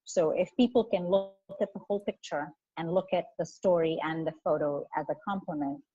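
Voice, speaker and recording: A woman's voice: fairly low pitch, a little fast, warm tone, slightly rough, dry recording. A woman with an Indian accent, a guest speaking earnestly and reflectively in a casual interview, with frequent fillers. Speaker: guest, photographer. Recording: muffled, dry sound.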